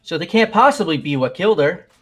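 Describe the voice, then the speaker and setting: Man voicing a doubtful teen boy for a fan game dub; fairly high voice. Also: animated, quick pace, slightly rough, faint music behind. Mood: skeptical. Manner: skeptical, challenging.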